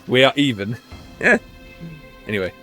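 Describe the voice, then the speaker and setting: A man's voice: mid-range voice, very animated, unhurried, bright, forceful tone, soft background music. A man streaming a video game, chatting casually and playfully as he plays. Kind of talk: casual gameplay chat.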